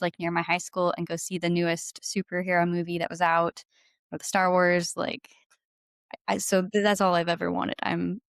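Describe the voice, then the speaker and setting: A woman's voice: fairly low pitch, vocal fry, clean tone, no echo, studio quality. A woman in her twenties chatting casually as an interview guest, bubbly and gushing, peppering her talk with "like". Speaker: guest singer-songwriter and violinist.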